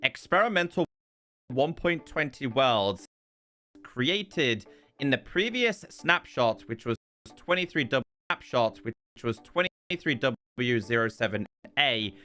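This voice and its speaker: A man's voice: medium pitch, very animated, takes it slowly, sharp tone. A man hosting a gaming video, giving casual update commentary and explaining things step by step. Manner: explanatory, walking through menus step by step. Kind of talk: casual scripted-sounding game update commentary. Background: background music.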